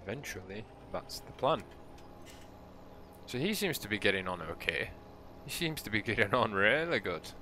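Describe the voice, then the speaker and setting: A man with a croaky, hoarse voice, giving relaxed, rambling commentary as he plays a farming game for his channel. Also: fairly low pitch, moderate pace, a little hiss or hum. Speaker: let's play host. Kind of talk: casual gameplay commentary.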